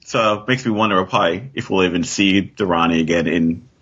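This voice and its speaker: A man's voice: sits low, brisk, a bit bright, slightly rough. A man chatting casually as a podcast guest, rambling and thinking aloud as he goes. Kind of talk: casual chat. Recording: dull, muffled lo-fi sound.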